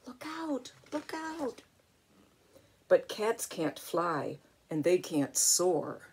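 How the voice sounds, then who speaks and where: An older woman, a grandmother, reading a picture book aloud in an expressive way and chatting to a young child with little exclamations. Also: lowish voice, very slow, a little hiss or hum. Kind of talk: storybook read-aloud with chatty asides to a child.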